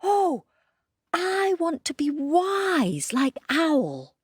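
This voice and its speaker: Woman putting on a tiny clever boy rabbit, super high and bouncy, slow and dry. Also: big pitch swings, no echo, heavily acted. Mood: eager. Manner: squeaky and eager. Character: small clever young male rabbit.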